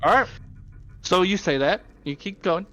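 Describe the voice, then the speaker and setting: A man's voice: sits high, normal speed, bright, forceful tone. A man acting as game master, casually narrating and directing a tabletop roleplaying game.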